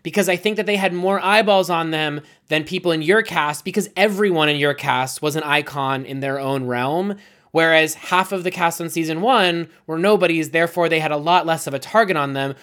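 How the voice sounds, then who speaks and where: A man's voice: a little high, brisk, a bit bright. A man hosting a podcast, asking long, run-on questions and correcting himself along the way. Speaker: podcast host. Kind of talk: casual interview chat.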